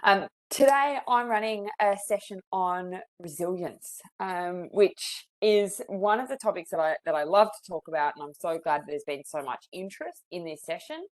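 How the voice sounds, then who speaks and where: A woman's voice: on the low side, lively intonation, normal speed, few pauses. A woman with an Australian accent, a psychologist in her thirties, leading a webinar in a friendly, chatty way with many fillers. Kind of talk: webinar presentation. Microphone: video call.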